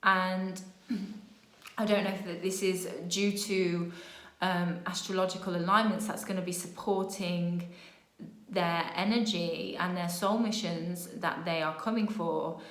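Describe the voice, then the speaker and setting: Hoarse woman musing in an echoey room. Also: on the low side, fairly even intonation, unhurried. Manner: meandering, many fillers and restarts, "yeah?" tags. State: losing her voice. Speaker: spiritual channeler. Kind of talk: casual spiritual vlog talk.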